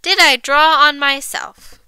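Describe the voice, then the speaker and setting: A woman's voice: sits high, fairly even intonation, slow pace, sharp tone, dry recording, full character voice. A woman voicing an eager, wheedling little girl in a storybook, pleading emphatically and drawing out key words. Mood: innocent, questioning. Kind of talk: acted children's storybook dialogue.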